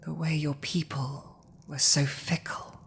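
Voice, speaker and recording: A woman voicing a commanding villainous female dragon in a dramatic audio roleplay, speaking deliberately with weighty pauses. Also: sits low, little pitch movement, vocal fry, husky, heavily acted. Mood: sympathetic, subdued. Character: villainous commanding female dragon, intense yet softening to compassion.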